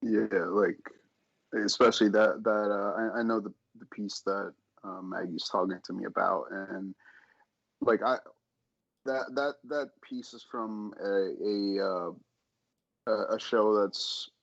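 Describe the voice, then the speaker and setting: A man talking casually as an interview guest, earnest and halting, often stammering over repeated words. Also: fairly low pitch, steady tone, vocal fry, dull audio. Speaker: audio drama creator and editor, podcast guest.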